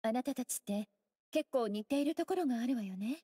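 A woman voicing a graceful, teasing young scholar mage in an anime game, with elegant, playful, unhurried phrasing. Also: a little high, animated, mellow, breathy edge, dry recording, clean studio sound. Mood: gentle, sincere. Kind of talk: Japanese anime game voice acting. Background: music.

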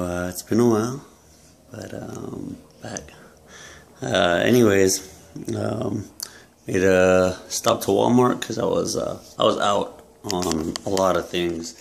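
Young man, low gravelly voice, slow unhurried talk, casual vlog, close camera, small room. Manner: relaxed, halting, many ums and uhs. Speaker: fitness vlogger. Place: small room indoors, yellow walls. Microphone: camera close up at arm's length.

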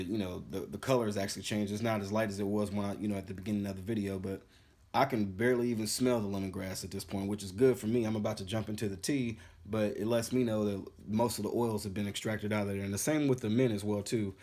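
A man's voice: on the low side, steady tone, a little fast, dark tone. A man in his forties making tea in his home kitchen, talking in a loose, rambling way to a phone camera, slightly congested. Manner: laid-back, rambling, says "man" and "bad boy" a lot. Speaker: home cook vlogger. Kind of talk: casual how-to vlog.

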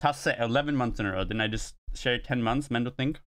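Fast, bright-voiced Swedish young man. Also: mid-range voice. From Sweden. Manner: quick repeated shouted callouts, then relaxed chat to viewers. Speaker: streamer and team player. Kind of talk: rapid team callouts during a competitive match. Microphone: gaming headset.

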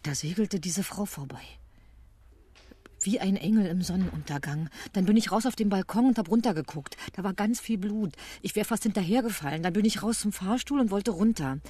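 A woman's voice: medium pitch, fairly quick, mellow, breathy edge, lightly acted. An actress playing a blunt, talkative, colloquial woman witness in a radio crime drama. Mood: confiding, shaken. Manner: colloquial, slightly crude.

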